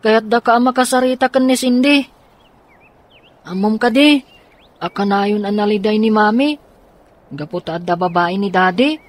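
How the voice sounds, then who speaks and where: A woman's voice: medium pitch, normal speed, pauses often, natural voice. A woman playing an upset, earnest child in a radio drama, plaintive and insistent.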